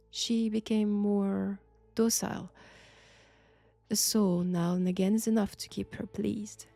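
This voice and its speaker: Woman acting a slow, French-accented sinister possessed professor. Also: medium pitch, gravelly, mellow. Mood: seductive, menacing. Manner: deliberate, teasing, ominous. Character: sinister, flirtatious female archaeology professor possessed by ancient god. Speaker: voice actor in audio roleplay. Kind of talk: slow, intimate roleplay acting.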